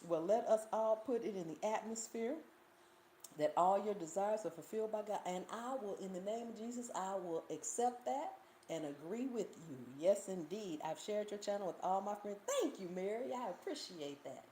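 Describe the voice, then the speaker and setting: A woman's voice: medium pitch, moderate pace. A woman with a Southern US accent hosting a cooking channel's live Q&A, telling stories and reading viewer comments with a lot of laughter. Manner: animated storytelling, reads viewer comments, laughs often. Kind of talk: casual livestream Q&A chat. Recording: roomy echo, faint background hiss.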